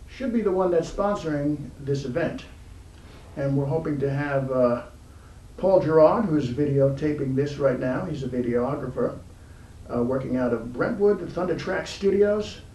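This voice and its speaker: A man hosting an informal interview show, speaking haltingly with frequent pauses mid-sentence. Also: mid-range voice, warm tone. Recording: roomy, distant mic, faint background noise.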